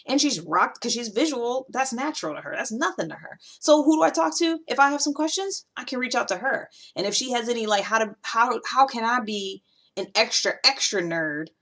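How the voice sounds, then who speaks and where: A woman's voice: a little high, a bit bright. A woman hosting a casual video monologue, talking informally and conversationally to viewers in a rambling, self-deprecating way. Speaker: video host.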